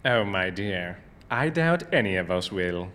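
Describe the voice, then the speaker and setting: An actor playing a smug, eccentric mad scientist in an audio drama, breezy, theatrical and condescending. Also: male voice, deep voice, very animated, a little slow, a little hiss or hum, heavily acted. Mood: wry resignation. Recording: long reverb, loud music behind.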